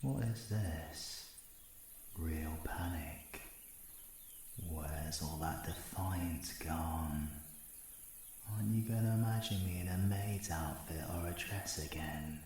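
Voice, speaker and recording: Low, slow British supervillain, acted by a man. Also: a little mellow. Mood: menacing, taunting. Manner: taunting, slangy, sweary, often hissing in whispers. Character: menacing, cocky male supervillain, taunting and possessive. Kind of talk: ASMR audio roleplay acting.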